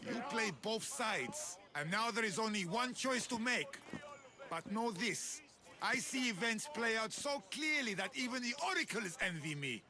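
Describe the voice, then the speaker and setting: An actor playing a ruthless, proud Spartan general in a video game, speaking in a boastful, curt, imperious way. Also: male voice, high voice, animated, a little slow. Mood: menacing. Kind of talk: scripted video game acting.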